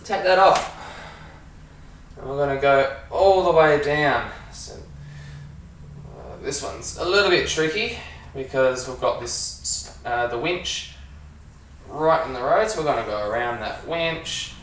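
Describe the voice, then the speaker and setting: A man with an Australian accent giving a casual, halting hands-on demonstration as a sound engineer while he works. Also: mid-range voice, animated, a bit bright. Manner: informal, halting, talking while working with hands. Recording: echoey room, distant mic, faint noise.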